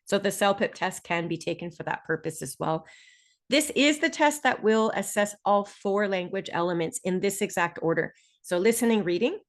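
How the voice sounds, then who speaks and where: A woman with a Canadian accent explains things clearly and deliberately as a webinar presenter, stressing key terms. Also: mid-range voice. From Ontario, Canada.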